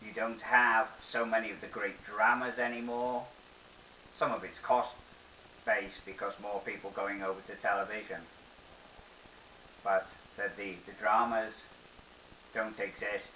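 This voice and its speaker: Older male presenter, bright forceful tone, unhurried deliberate delivery, nostalgic monologue. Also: fairly low pitch, level tone. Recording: roomy echo, distant mic, faint hiss.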